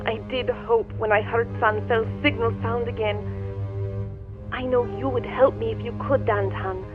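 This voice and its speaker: Solemn alien maiden, thin radio-filtered voice. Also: female voice, fairly high pitch, fairly even intonation, normal speed, narrow, tinny audio, over music. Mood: resigned, sorrowful. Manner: grave, formal, stately. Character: proud, doomed young alien woman, solemn and resigned. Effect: radio-like filter over a viewing screen.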